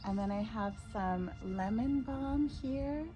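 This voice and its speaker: A woman vlogging casually from her backyard garden, a little hoarse from a cold, chatting gently as she arranges flowers. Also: a little high, takes it slowly, over music. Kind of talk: casual garden vlog, demonstrating.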